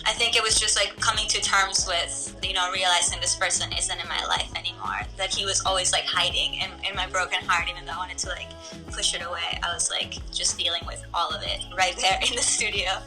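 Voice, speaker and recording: Young woman from Ecuador, very bright voice, relaxed chatty singer, soft music underneath. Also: on the low side, fairly quick, low-quality recording. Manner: relaxed, chatty, many "like" fillers. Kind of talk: casual talk to camera about her song.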